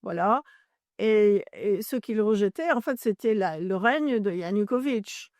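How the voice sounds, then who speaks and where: Woman from Ireland, native English speaker, interview guest who explains at length, stops to find words, mid pitch, dry recording. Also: expressive, measured pace, darkish tone, dry recording. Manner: searches for French words, self-correcting as she explains. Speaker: journalist and author, interview guest.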